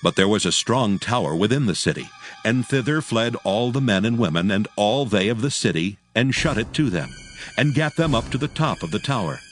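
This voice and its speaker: A man narrating scripture from a script, in a solemn, measured storytelling manner. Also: lowish voice, animated.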